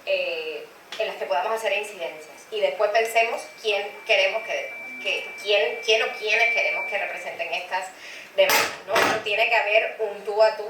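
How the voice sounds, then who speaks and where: A woman's voice: mid-range voice, moderate pace. A woman who is a political scientist and city councillor makes her case earnestly, often restarting with "eh" and "o sea". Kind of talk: panel conversation. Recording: echoey room, distant mic, faint noise.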